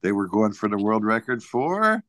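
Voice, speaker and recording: A man co-hosting a podcast in casual chat, halting and repeating his phrases. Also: on the low side, very animated, a bit bright, no echo, studio quality. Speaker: podcast co-host.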